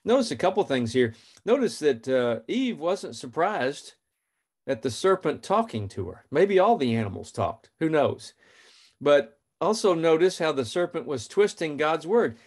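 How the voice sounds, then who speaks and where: A man's voice: fairly high pitch, very animated, unhurried, a little mellow. A man in his fifties hosting a faith podcast, telling a story and reading scripture in an earnest, plain way. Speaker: podcast host.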